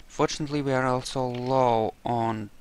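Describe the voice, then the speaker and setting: A man's voice: mid-range voice, steady tone, normal speed, clean tone. A man giving casual gameplay commentary, muttering asides to himself as he plays. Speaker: gamer commentating on a playthrough.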